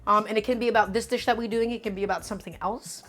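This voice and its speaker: A woman's voice: mid-range voice, fairly even intonation, rapid-fire, a bit bright, faint music behind. A young woman hosting a live cooking stream. She talks to her viewers in a chatty, upbeat way, often calling them "guys" and hyping them up. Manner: upbeat, hypes up the audience.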